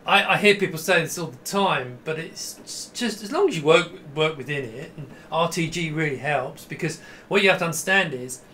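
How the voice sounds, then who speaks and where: A man's voice: on the high side, lively intonation, normal speed, few pauses, sharp tone, slight background noise. A middle-aged man giving a casual, enthusiastic hands-on demo to camera, rambling and often breaking off mid-sentence. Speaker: host demonstrating a vintage computer. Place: small indoor home room with computer gear.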